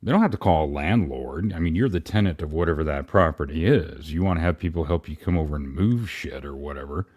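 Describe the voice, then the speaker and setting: A man's voice: rumbling low, quick pace, hardly any pauses, a little mellow. A man co-hosting a casual commentary chat in a blunt, colloquial way. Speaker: co-host.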